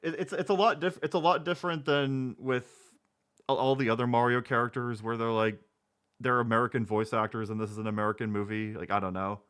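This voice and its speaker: A man's voice: medium pitch, flat delivery, brisk, a bit bright, smooth. A man hosting a casual discussion, rambling and sarcastic, often restarting his sentences. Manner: rambling, sarcastic, repeats himself. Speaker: host. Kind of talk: casual podcast chat.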